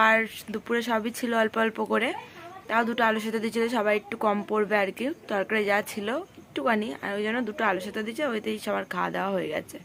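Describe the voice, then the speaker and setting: A young woman, chatting casually in a daily vlog. Her voice is hoarse and husky from a cold, and she talks to viewers as friends. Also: a bit bright. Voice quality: husky, deepened voice. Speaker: vlogger.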